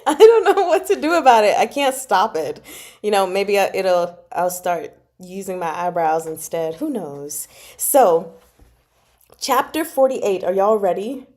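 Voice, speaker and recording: Mellow-voiced woman chatting casually. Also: medium pitch, animated, a little slow. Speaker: host of a book club reading stream. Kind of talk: casual livestream chat about a novel.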